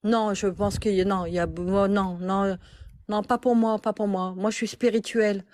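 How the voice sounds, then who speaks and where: A woman's voice: mid-range voice, fairly even intonation, moderate pace, a little mellow, no room sound. A woman calling in to a live stream, answering hesitantly with many restarts. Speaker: caller. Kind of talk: live call-in chat.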